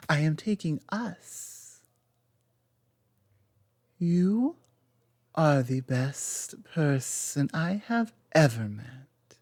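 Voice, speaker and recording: A woman playing a loving, softly dominant lamia girlfriend in an intimate ASMR roleplay, tender and earnest, with halting delivery and a sibilant lisp. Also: sits low, no echo, studio quality, heavily acted. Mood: earnest, reassuring.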